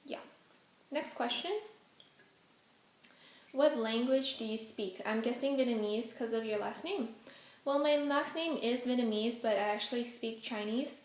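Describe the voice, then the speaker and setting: Young woman vlogger, first language Hokkien, slightly high voice, casual and chatty in a roomy echo. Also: unhurried, long pauses. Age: young adult. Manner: chatty, many ums, giggly asides. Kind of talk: casual Q&A vlog. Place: indoors at home, face out of frame.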